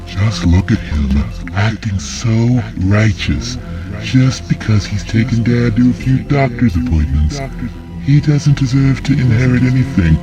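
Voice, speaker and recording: A scornful demon played by a man stirs resentment in an audio drama. His delivery is sneering and drawn out at a medium pitch, with music clearly underneath. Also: expressive, measured pace, natural voice, poor audio. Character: scornful male demon stirring up family resentment.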